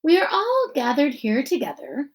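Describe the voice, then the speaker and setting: A woman voicing a middle-aged male school principal giving an earnest graduation speech in a children's novel, measured and emphatic with deliberate pauses. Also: sits high, wildly swooping pitch, pitched above the performer's own voice, exaggerated voice. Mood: earnest, reflective. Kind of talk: children's novel read-aloud.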